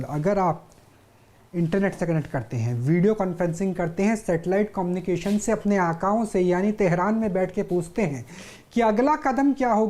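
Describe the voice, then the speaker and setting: Mellow woman presenting news demonstrations. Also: medium pitch. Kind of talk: live demonstration reporting.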